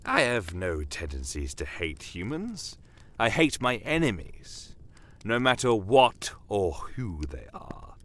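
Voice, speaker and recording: A man voicing a shrewd, bitter non-human desert commander in a dramatic audio story, speaking in a measured, theatrical and courteously menacing way. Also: fairly low pitch, big pitch swings, a bit bright, voice pushed up, heavily acted. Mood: controlled anger. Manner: measured, theatrical, pointed rhetorical questions. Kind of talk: dramatic first-person audio story narration. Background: battle aftermath ambience.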